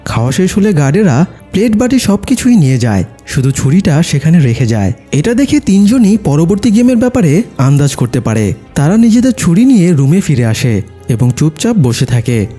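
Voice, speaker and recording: A man reads a scripted story recap in a fairly high voice. His pitch moves in lively swings, and the tone has a husky edge. Music plays underneath the narration. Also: normal speed. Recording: music behind the voice. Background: background music.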